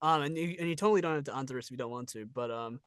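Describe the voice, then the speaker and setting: A man's voice: mid-range voice, rapid-fire, clear, resonant tone, no echo, studio quality. A man with a New Zealand accent hosting a casual interview in an informal, encouraging, jokey way with some swearing. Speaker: interview host.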